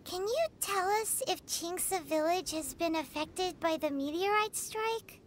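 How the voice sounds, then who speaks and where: A woman voicing a small childlike fairy companion in a video game, perky, inquisitive and squeaky. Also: unhurried, slight background noise. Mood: perky, curious. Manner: perky, plain-spoken, inquisitive. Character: small childlike chirpy fairy companion girl, eager and helpful. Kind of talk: video game character acting. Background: loud game music.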